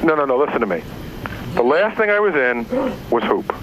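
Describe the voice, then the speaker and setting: A man's voice: on the high side, sing-song, a little fast. Insistent man on a busy phone recording. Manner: insistent, confrontational. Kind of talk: phone call conversation. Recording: recorded phone call, chatter behind.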